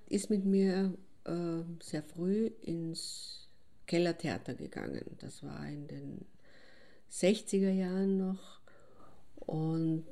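A woman playing an older Austrian who reminisces in an audio drama, halting and thoughtful as she searches for words. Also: low voice, creaky, warm tone, heavily acted. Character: reflective older woman fondly recalling a childhood friend.

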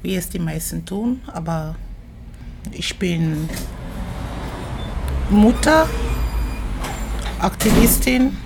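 A middle-aged woman speaks in a very slow, deliberate way, pausing often to search for words. She sounds thoughtful and reflective as she answers interview questions about her work as an artist. A faint hiss sits under her voice. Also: on the low side, expressive, vocal fry. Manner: slow, hesitant, many filler sounds, thoughtful. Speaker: performance artist and activist.

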